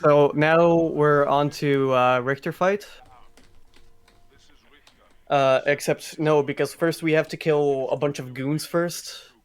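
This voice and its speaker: A man's voice: on the high side, steady tone, takes it slowly, pauses often, clean tone. A man giving casual, joking live commentary on a speedrun at a gaming event. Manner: joking, playful banter.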